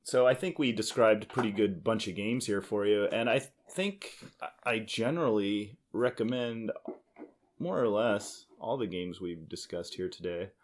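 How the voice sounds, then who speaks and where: A man's voice: fairly low pitch, unhurried. A man hosting a casual board game podcast, explaining in a teasing, jokey way. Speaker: podcast host.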